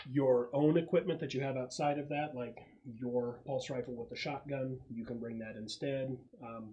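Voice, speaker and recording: A man running a casual tabletop role-playing game as game master, explaining the setup with halting pauses. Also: mid-range voice, darkish tone, poor audio.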